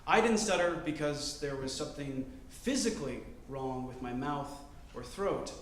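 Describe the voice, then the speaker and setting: A man in his twenties telling a story on stage, choosing and enunciating each word carefully, with deliberate pauses. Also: mid-range voice, expressive, slight background noise. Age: 20s. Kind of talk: live storytelling performance. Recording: roomy live-venue sound.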